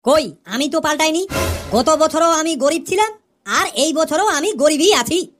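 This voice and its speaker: Schoolboy pupil, high-pitched, cheeky, argumentative, long-winded, comedy cartoon, faint music. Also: expressive, a little slow. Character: cheeky schoolboy pupil with absurd smart-aleck answers.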